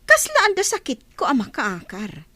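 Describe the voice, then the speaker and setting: A woman with an Ilocano accent playing an irritable, scornful, sharp-tongued lender in a radio drama. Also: high-pitched, wildly swooping pitch, a little slow, dry recording, full character voice. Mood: scornful, annoyed.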